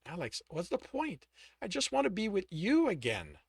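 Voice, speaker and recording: A man voicing a broken young man, pleading softly. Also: high-pitched, huge pitch swings, moderate pace, creaky, breathy edge, dry recording, pitched above the performer's own voice, full character voice.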